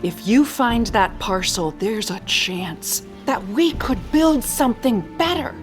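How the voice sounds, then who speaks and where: A woman playing a calm, compassionate leader of secret ageless survivors in an audio drama, speaking gently and earnestly. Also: on the high side, takes it slowly. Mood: probing, resolved. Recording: music behind.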